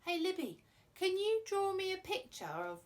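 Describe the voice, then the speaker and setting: A woman's voice: very high voice, big pitch swings, normal speed. A woman presenting a children's arts show, chatty and playful as she talks to young viewers and reads silly verse. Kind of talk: children's presenting and poem reading.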